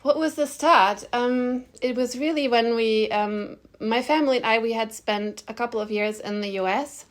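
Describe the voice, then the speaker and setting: A woman's voice: a little high, normal speed, hardly any pauses. A middle-aged woman from Germany, slightly nasal from a cold, answering interview questions in a candid, informal and emphatic way. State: has a cold. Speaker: interview guest, agency founder.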